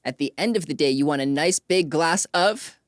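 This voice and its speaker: Low-pitched woman hosting a casual interview. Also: moderate pace, no echo, clean studio sound. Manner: affirming, informal, repeats short acknowledgements. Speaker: interview host.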